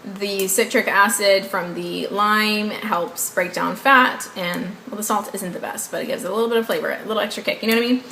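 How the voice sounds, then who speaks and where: A woman's voice: mid-range voice, fairly even intonation, a little fast, vocal fry, hardly any pauses, pushed and bright. A woman in her twenties from the Southern US, with a Southern accent and English as her second language, chatting casually in a rambling, upbeat way to viewers. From Southern United States. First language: not English. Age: 20s. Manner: rambling, upbeat, often asks viewers to guess. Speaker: beauty vlogger. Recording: echoey room with faint background noise.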